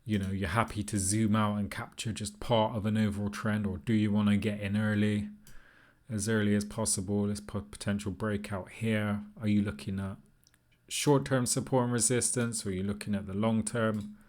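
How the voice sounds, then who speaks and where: A man's voice: on the low side, little pitch movement, moderate pace, darkish tone. A man giving an informal, unscripted tutorial, thinking aloud in an easygoing, tentative way as he explains. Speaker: trading tutorial presenter.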